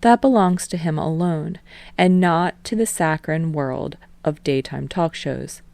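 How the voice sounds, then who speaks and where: A woman narrates fan fiction as an audiobook, in a steady, storytelling manner. Her voice sits low with a gravelly, fry-touched texture. The recording is close and dry. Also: unhurried, no echo. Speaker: podfic reader.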